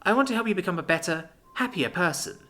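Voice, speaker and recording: A man voicing Libby, a fussy, reclusive young woman who speaks high and fretful. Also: expressive, fast talker, sharp tone, faint music behind. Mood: earnest. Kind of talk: audiobook character voice.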